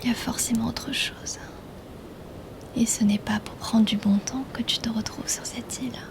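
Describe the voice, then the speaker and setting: A woman's voice: fairly high pitch, steady tone, creaky. A woman voicing a mysterious, shrewd mermaid healer in a whispered, intimate ASMR roleplay. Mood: intrigued, probing. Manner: hushed, slow, intimate, teasingly questioning.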